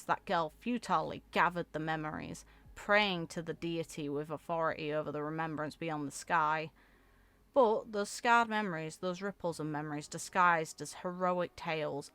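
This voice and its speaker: A woman reading video game story text aloud in an expressive, theatrical way, as a content creator. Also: sits low, moderate pace, clear, resonant tone. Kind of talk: reading game lore aloud with reaction asides.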